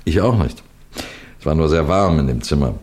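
An actor playing a shrewd, genial middle-aged detective who questions and narrates in a measured, gently teasing way, in the style of a 1960s German radio mystery. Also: male voice, extremely low pitch, lively intonation. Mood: pensive, teasing. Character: shrewd, genial police detective narrating his own case.

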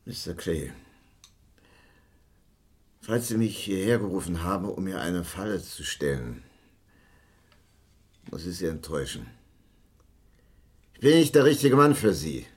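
A bitter, self-loathing stage director in a radio crime drama. His low, husky voice moves slowly and broodingly, then swings widely into theatrical scorn. He speaks with a sardonic, boozy edge of a washed-up drinker. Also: very animated, full character voice. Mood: scornful, weary. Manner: slow, theatrical, brooding, with sudden outbursts. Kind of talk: 1970s German crime radio play acting.

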